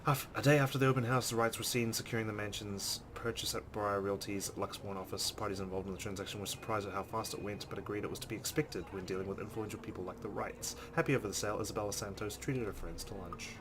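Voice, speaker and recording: Low-voiced man reading a game aloud, noisy. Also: fairly even intonation, fast, few pauses. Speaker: let's play host. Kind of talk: dramatic read-aloud with casual commentary.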